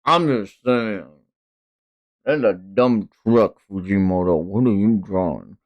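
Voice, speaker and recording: A man's voice: lowish voice, slow pace, lo-fi sound. A man streaming a casual manga read-along, narrating with amused, sweary commentary. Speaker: streamer reading manga aloud.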